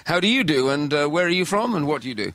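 Brisk radio host greeting a crowded studio. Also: male voice, fairly high pitch, sing-song, a bit bright, no echo. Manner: brisk, repetitive greetings, chuckling asides. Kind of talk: radio studio show hosting. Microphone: studio microphone with headphones.

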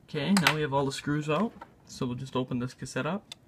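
A man narrating a casual hands-on tutorial in a halting, unscripted way, thinking aloud as he works. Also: medium pitch, noisy background. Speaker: video maker demonstrating a repair. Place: indoors at a tabletop.

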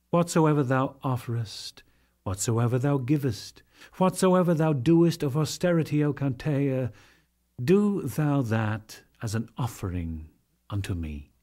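A man voicing a slow, solemn divine lord. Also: medium pitch, lively intonation, a little mellow. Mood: solemn, exalted. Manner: solemn, measured, declamatory, with deliberate pauses. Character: Krishna, solemn divine lord teaching a devotee. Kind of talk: dramatic reading of sacred scripture.

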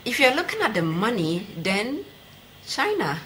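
Lively woman interviewing. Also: on the high side, measured pace. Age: young adult. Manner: probing, persistent. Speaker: TV sports interviewer. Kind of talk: interview questioning. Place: indoor TV studio. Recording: noisy TV studio sound.

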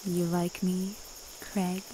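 A woman's voice: on the low side, level tone, slow pace. A woman playing a seductive, commanding immortal witch in a retro radio drama, coaxing and self-assured. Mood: coy, eager. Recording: faint background noise and hiss.

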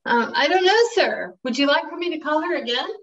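A woman's voice: sits high, animated, brisk, a bit bright. A woman playing a deferential, polite assistant to a director, on a webcam in a room with a wooden door. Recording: distant, dull webcam sound.

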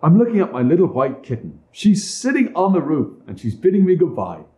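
A man voicing a brave, gentle little boy in a storybook reading, with a reassuring manner. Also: on the high side, very animated, fairly quick, echoey room, pitched above the performer's own voice. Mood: eager.

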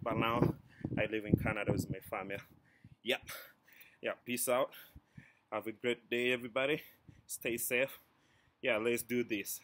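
A man's voice: medium pitch, steady tone, normal speed, pauses often, fairly bright tone, slight background noise, rough recording. A man in his thirties from Zanzibar with a Tanzanian accent, chatting to a phone camera in his backyard as a fitness vlogger. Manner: short counted cues between breaths during exercise. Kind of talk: workout coaching and chat to camera. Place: backyard outdoors. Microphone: camera mic, phone up close for the talk.

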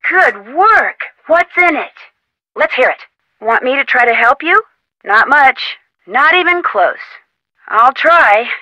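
A woman's voice: high voice, lively intonation, takes it slowly, bright, forceful tone, no room sound, full character voice, rough recording. An actress playing a plucky young female amateur detective in an adventure game, talking in an encouraging, insistent, conversational way. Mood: brisk, curious. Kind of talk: scripted adventure game dialogue.

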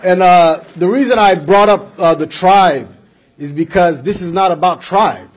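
A young man raised in the United States delivers a passionate political speech into a podium microphone. His voice sits high and carries a husky edge. He leans on repetition and rhetorical questions to press his argument. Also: measured pace, a faint crowd. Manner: emphatic, repeats points for effect, gestures while speaking. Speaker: speaker at a community event. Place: indoor hall with flags behind. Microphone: podium microphone on a stand.

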